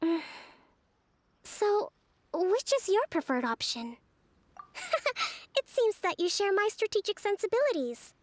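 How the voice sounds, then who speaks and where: An actress playing a gentle young priestess and leader in an anime video game, speaking in a measured, formal, thoughtful way. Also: female voice, very high-pitched, big pitch swings, full character voice. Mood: composed, encouraging.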